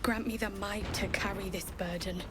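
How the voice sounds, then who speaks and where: A woman's voice: medium pitch, fairly even intonation, normal speed, music in the background, natural voice. An actress playing a devout, grieving woman who survived a massacre in a video game, speaking in a solemn, weary manner. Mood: solemn, praying. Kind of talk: video game character dialogue.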